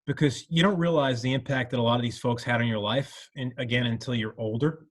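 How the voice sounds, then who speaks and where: A man's voice: medium pitch, a little fast. A middle-aged man from New York, an alumnus, speaking earnestly and reflectively in a rambling conversational way, full of "you know" fillers. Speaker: alumnus panelist.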